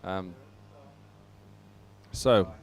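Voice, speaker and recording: A man's voice: very slow, dry recording. A man presenting live coverage of a sporting event to viewers, talking as a broadcast host.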